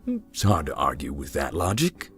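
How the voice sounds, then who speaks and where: A man playing a giant, easygoing, wry explorer in a visual novel, talking in a folksy, deadpan way. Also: rumbling low, normal speed, slightly rough, full character voice. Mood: calm, wry. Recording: music behind the voice.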